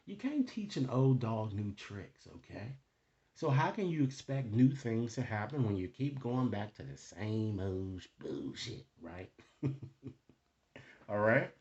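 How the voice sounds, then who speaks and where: A man's voice: on the low side, very animated, slow, darkish tone, highs cut off. A man speaking casually as a tarot reader, improvising to the viewer and often checking in with short tag questions. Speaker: tarot card reader, host. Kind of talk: casual, improvised card reading addressed to the viewer.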